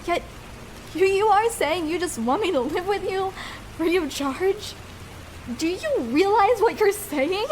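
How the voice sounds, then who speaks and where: A woman's voice: very high-pitched, expressive, unhurried, slight background noise. A young woman playing a shy, vulnerable escort in an intimate ASMR roleplay, speaking hesitantly and tenderly with small stammers. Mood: hesitant, surprised.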